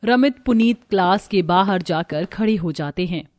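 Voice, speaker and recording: A woman's voice: fairly low pitch, normal speed, a little mellow. A woman narrating a scripted cartoon story as its storyteller.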